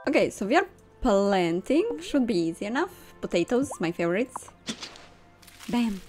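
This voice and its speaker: A young woman hosting a video game playthrough, chatting casually in an excitable way. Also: mid-range voice, moderate pace. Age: young adult. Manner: giggly, excitable. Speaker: gaming video host. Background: game music.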